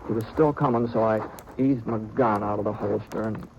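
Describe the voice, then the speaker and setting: An actor playing an easygoing American cowboy gunfighter in a 1950s radio western, with a folksy, halting way of talking. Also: male voice, on the low side, fairly even intonation, muffled recording, close to an everyday voice. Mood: storytelling. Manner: folksy, halting, repeats himself. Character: easygoing, good-natured drifting cowboy gunfighter narrating his tale. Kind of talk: 1950s radio western acting and first-person narration. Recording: noisy old radio drama recording.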